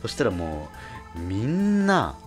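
A man hosting a casual radio chat, telling stories in an animated way and acting out dialogue. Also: lowish voice, takes it slowly. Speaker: radio host. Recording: music behind the talk. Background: background music.

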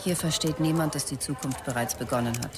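A man's voice: medium pitch, fast talker, a loud crowd, natural voice. An actor playing a visionary, demanding male startup executive in a radio drama, speaking tersely and emphatically. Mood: harried, curt. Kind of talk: radio drama, characters dictating messages.

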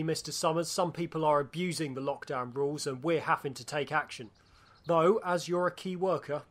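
A man's voice: on the high side, lightly acted. A man playing a courteous, official police officer in an audio drama.

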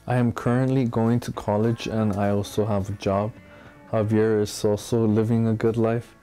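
A man in his early twenties, interviewed indoors in a small room, speaking calmly with slight hesitations. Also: on the low side, moderate pace, soft background music. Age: 20s. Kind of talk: documentary interview.

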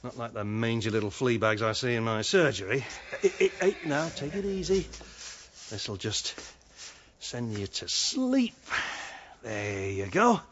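Expressive, smooth-talking male vet. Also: medium pitch, unhurried, lo-fi sound, slight background noise. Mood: soothing, low. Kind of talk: radio drama acting.

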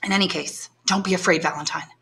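A woman's voice: fairly low pitch, steady tone, fast talker, creaky, pushed and bright. A woman reading aloud and voicing a devoted young man, earnest and reassuring, close to her own voice. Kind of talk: audiobook character voice.

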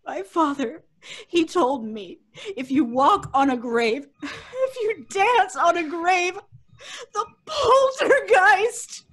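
A woman's voice: very high voice, animated, slow pace, fairly bright tone, heavily acted. A woman playing a hysterical, superstitious young woman in mortal terror, wailing and stammering in old-time radio horror style. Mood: dread. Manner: wailing, stammering, repeats herself frantically.

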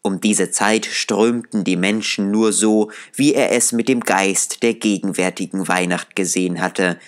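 A man narrating an audiobook in a calm, measured storytelling voice. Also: fairly low pitch. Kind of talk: scripted narration.

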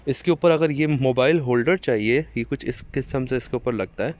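A man's voice: medium pitch, fairly quick, a little mellow. A young man from Pakistan, casually explaining his motovlog setup step by step, recorded outdoors on a street by a phone on a chest mount. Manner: chatty, explaining step by step with small restarts. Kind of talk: casual how-to vlog. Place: outdoors on a street beside a parked motorcycle.

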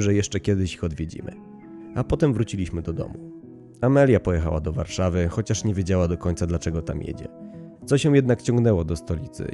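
Casting: male horror podcast narrator, very low pitch, dark mellow tone, music underneath. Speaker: podcast host reading horror stories. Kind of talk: dramatic story narration with casual commentary.

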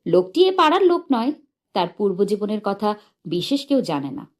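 A woman narrating a humorous short story in an expressive, measured and dramatic storytelling manner. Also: mid-range voice. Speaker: audiobook narrator.

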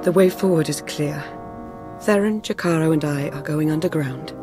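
An actress playing a cool, shrewd Imperial intelligence officer, speaking in a dry, measured way. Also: female voice, low voice, over music, close to an everyday voice. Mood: dry, resolute.

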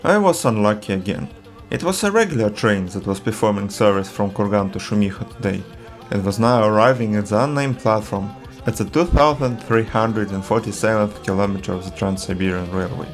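A man from Russia, narrating a travel film in an earnest, measured voice-over. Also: on the low side, music in the background. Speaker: travel vlogger.

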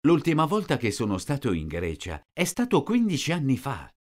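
A man slowly reading out short sentences, each one twice, for a language lesson. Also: mid-range voice, wildly swooping pitch, darkish tone. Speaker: language teacher. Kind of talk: slow repeated phrase reading.

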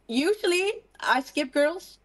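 High, lively giggly young woman. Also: slow, slight background noise.

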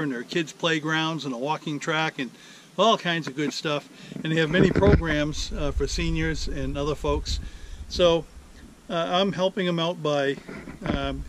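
An older man hosting his DIY channel, talking in a relaxed, rambling way with many fillers, standing outdoors by a rural driveway. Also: a little high, noisy background. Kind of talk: casual workshop vlog.

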